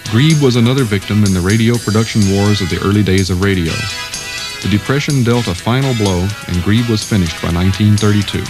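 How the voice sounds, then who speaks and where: Man, scripted documentary narrator, lowish voice, prominent plucked guitar backing. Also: fairly quick.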